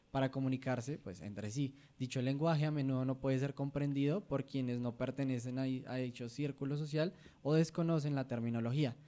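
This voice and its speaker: A man from Colombia co-hosting a radio program, explaining terms in a measured, partly read way. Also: mid-range voice, dark tone. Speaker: radio show co-host.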